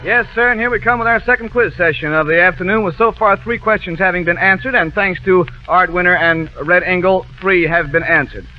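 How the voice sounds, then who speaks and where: A man's voice: high voice, brisk, hardly any pauses. A man hosting a 1940s radio quiz show with chatty, teasing, wisecracking patter. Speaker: quiz show host, master of ceremonies. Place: radio studio show with band and audience. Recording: muffled 1940s radio broadcast, music behind.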